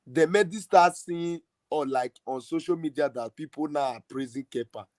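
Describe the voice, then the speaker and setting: A man's voice: fairly high pitch, normal speed, darkish tone, no echo, clean studio sound. A man hosting a football fan podcast, arguing his point passionately in a casual heated debate. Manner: passionate, argumentative, emphatic.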